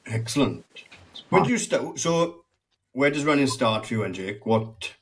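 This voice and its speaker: A man's voice: medium pitch, animated, moderate pace, husky. A man co-hosting a podcast, putting casual questions to a guest in a chatty interview.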